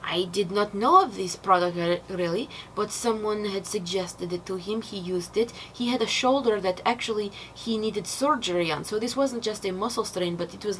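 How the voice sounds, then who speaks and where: A young adult woman telling informal stories to camera, acting out what people said in an animated manner. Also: medium pitch, normal speed, few pauses, slight background noise, rough recording. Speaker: speaker presenting product testimonials. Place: small decorated indoor set.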